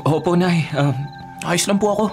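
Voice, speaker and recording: An actor playing a shy, earnest young office worker in a Tagalog radio drama, with a Filipino accent and a hesitant way of speaking. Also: male voice, high-pitched, moderate pace, vocal fry, faint music behind. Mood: polite, hesitant. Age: young adult. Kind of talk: Tagalog radio drama acting with inner monologue.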